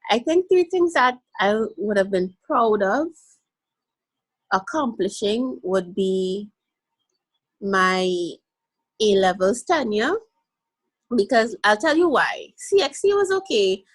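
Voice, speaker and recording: A young woman from Guyana with a mixed Guyanese and Caribbean accent, talking as a guest in a casual interview in an animated, playful way and laughing easily. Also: on the high side.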